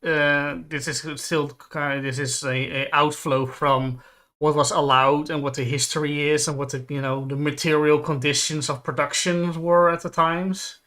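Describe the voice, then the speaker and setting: A man co-hosting a stream, talking in a casual, analytical way with a hesitant, measured manner. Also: a little high, flat delivery, bright, forceful tone. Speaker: stream co-host.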